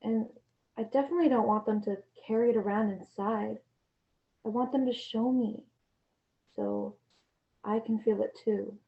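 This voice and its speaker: A young woman playing a frustrated but tender teenage girl, pleading earnestly in a halting stage monologue. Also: fairly high pitch, very animated. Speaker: drama student performing a monologue. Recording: dull, lo-fi room recording.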